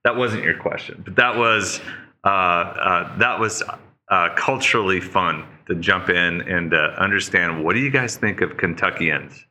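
Deep-voiced, bright, animated man from Oklahoma. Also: unhurried, slight background noise. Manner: animated storyteller, jumps to high-pitched mock voices. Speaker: podcast guest, leadership speaker. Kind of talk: casual interview chat.

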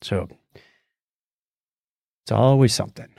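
A man's voice: fairly low pitch, slow pace, gravelly, dark tone, no room sound, studio quality. A man hosting a podcast, chatting casually and explaining science news in a conversational way. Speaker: podcast host.

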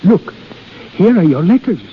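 Older Swiss landlord, gentle, folksy, halting phrases, fairly high voice, muffled hissy old radio drama. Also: male voice, sing-song, slightly rough, full character voice. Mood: eager, then soothing. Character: kindly, fussing older Swiss landlord, humble and plain-spoken. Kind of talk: 1930s radio drama acting.

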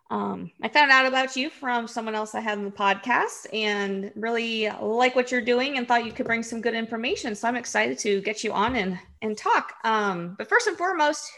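A woman hosts a podcast with a bright, ringing voice pitched a little high. She opens the show and questions her guest in an upbeat, eager manner. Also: expressive, moderate pace. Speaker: podcast host. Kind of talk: podcast interview, scripted intro.

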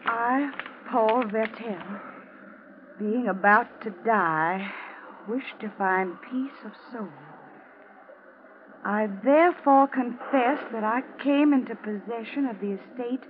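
A woman's voice: fairly high pitch, natural voice. An actress playing a vengeful wife who coldly taunts her murderous husband, theatrical and ominous, in an old-time radio thriller. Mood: solemn, ominous. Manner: ominous, deliberate, mocking, theatrical. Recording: muffled, noisy old radio drama recording.

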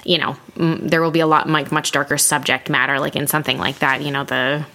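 Bright, forceful woman with a low voice. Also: little pitch movement, quick pace, gravelly. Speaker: podcast co-host. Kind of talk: casual book discussion podcast.

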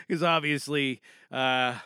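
Bright, creaky-voiced man chatting casually. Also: a little high, dry recording, studio quality. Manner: giggly, jokey, rambling. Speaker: voice actor presenting his performance. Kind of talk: casual chat to listeners.

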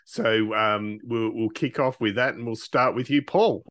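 A man's voice: mid-range voice, a little slow, fairly bright tone, dry recording, clean studio sound. A man co-hosting a podcast, putting questions to a guest in casual interview chat.

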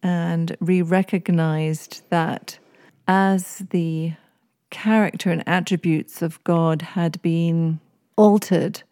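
A woman's voice: low voice, warm tone, clear, resonant tone, no room sound, clean studio sound. A woman from East Africa with an English accent, a podcast guest speaking in a thoughtful, articulate, somewhat formal way. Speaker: guest author. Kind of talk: podcast interview.

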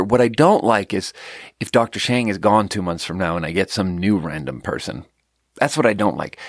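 A man's voice: mid-range voice, creaky, no echo, studio quality. Rambling, profane man telling a story. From Sweden. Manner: rambling, profane, laughs at his own jokes. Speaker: podcast host. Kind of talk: casual storytelling monologue.

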